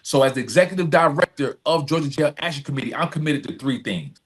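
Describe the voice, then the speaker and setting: A man's voice: fairly high pitch, lively intonation, quick pace. A middle-aged man telling stories as an interview guest, earnest and emphatic, repeating phrases and often checking in with "right?". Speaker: podcast guest, nonprofit executive director. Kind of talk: conversational interview storytelling.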